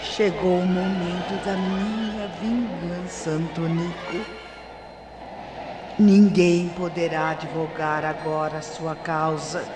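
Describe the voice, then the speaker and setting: A woman's voice: on the low side, flat delivery, slow, noisy background. An actress playing the vengeful, grieving ghost of a wronged woman in a radio mystery drama, declaiming bitterly and theatrically. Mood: cold, vengeful. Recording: AM radio archive, loud music behind. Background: loud dramatic music.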